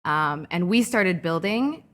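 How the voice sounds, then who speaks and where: A woman in her thirties from California, a startup founder speaking as a guest in a podcast interview, reflective and animated in her anecdotes, with frequent fillers. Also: lowish voice, takes it slowly. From California, Orange County. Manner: reflective, lively when telling anecdotes, frequent "um" and "like". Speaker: guest entrepreneur.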